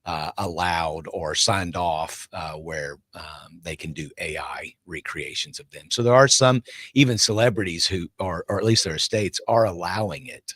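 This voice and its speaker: A man's voice: low-pitched, moderate pace, fairly bright tone, no room sound. A man chatting casually on a podcast panel, hesitant and with many fillers.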